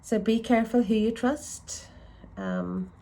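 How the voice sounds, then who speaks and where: Slow-talking congested woman reading tarot. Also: fairly high pitch, wildly swooping pitch, warm tone, a little hiss or hum. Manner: rambling, self-correcting, musing aloud. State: congested from a lingering cold. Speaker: tarot reader.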